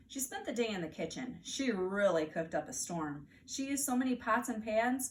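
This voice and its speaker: American woman reading aloud, distant mic. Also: mid-range voice. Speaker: teacher reading aloud to first graders. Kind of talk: expressive children's storybook read-aloud. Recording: distant mic, faint background noise.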